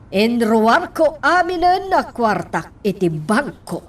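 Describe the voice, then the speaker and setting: An actress playing a headstrong, defiant wife in a radio drama, speaking emphatically and deliberately. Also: female voice, a little high, sing-song, recorded from a distance, heavily acted. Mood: firm. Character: headstrong, defiant wife insisting on her own way.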